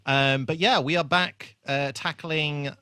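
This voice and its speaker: A man's voice: fairly high pitch, steady tone, measured pace, pushed and bright, smooth, dry recording. A man co-hosting a podcast in casual chat, talking in a jokey, rambling way. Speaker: podcast co-host.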